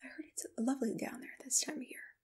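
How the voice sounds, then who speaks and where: Asmr lady whispering questions up close, super breathy, a bit high pitched, soft and gentle. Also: fast talker. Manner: soft-spoken, gentle, attentive personal tone. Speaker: ASMR roleplayer playing a monster matchmaker. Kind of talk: ASMR roleplay, interview questions. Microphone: close-up ASMR microphone. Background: computer keyboard typing.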